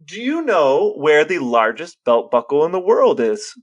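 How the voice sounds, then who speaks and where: Male co-host, a bit high in pitch, casual humorous chat, dry and playful. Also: big pitch swings, measured pace, dull audio. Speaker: podcast co-host.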